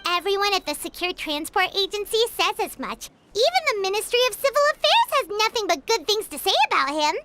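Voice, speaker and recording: A woman's voice: very high voice, animated, brisk, hardly any pauses, background noise. A woman voicing a tiny, chirpy female companion creature in a video game, who refers to herself in the third person. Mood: reassuring. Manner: third-person self-talk, bubbly. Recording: game audio with loud music behind.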